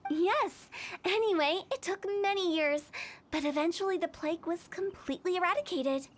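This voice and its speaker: A woman's voice: very high-pitched, moderate pace. A woman voicing a confident, theatrical young funeral director in a video game. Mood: earnest, storytelling. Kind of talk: English video game voice acting. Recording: game music playing behind.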